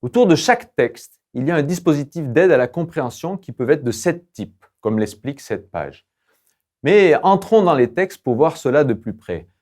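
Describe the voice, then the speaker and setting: A man's voice: a little high, sing-song, a little mellow. Man narrating a formal, scripted lesson. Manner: formal, didactic, measured academic delivery. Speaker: lecturer presenting language-learning tools.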